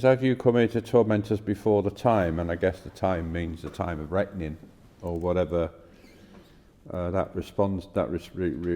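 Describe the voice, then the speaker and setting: A man co-hosting a podcast, reading and discussing scripture in a halting, tentative way. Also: sits low, mellow. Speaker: podcast co-host. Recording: reverberant room with long echo.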